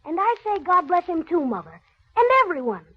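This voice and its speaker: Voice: very high voice, sing-song, brisk. A performer playing a sweet, frail, devout little boy in a 1930s radio drama. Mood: earnest blessing. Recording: muffled old radio broadcast.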